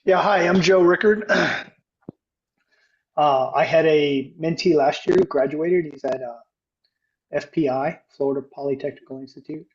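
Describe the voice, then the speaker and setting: A man's voice: fairly high pitch, moderate pace. A man casually introducing himself as a volunteer on a group video call. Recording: dull video-call audio.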